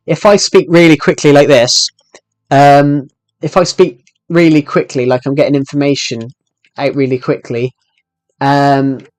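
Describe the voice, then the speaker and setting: A man in his twenties with a thick British accent talks through a live game stream, tripping over his own sentences as he answers viewer questions. His voice sits slightly higher than most men's. The sound is dry and close, with no room echo. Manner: rambling, restarts sentences while answering chat questions. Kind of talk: casual live stream chat.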